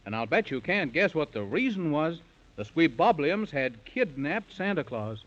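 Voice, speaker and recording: A man's voice: a little high, very animated, normal speed. A man narrating a children's story in sing-song rhyming verse, with a theatrical 1930s radio delivery. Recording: muffled lo-fi 1930s radio recording.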